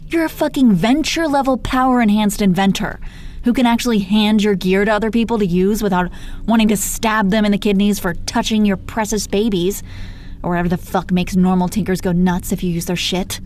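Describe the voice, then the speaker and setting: A woman's voice: mid-range voice, a little fast, darkish tone, slight background noise, natural voice. A woman playing a gloating, menacing female supervillain in a roleplay audio, condescending, sardonic and exasperated, with heavy swearing. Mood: exasperated lecturing. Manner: taunting, condescending pet names, heavy swearing. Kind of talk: dramatic roleplay audio monologue.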